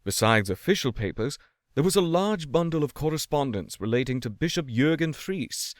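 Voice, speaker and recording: A man giving a measured, expressive reading of a classic ghost story as a scripted narration. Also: medium pitch, no room sound, clean studio sound. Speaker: audiobook-style story reader.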